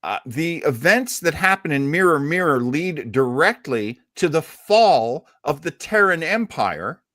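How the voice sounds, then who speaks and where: A man's voice: a little high, expressive, unhurried, pushed and bright, no room sound, clean studio sound. A man hosting a casual panel chat on a podcast. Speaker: podcast host.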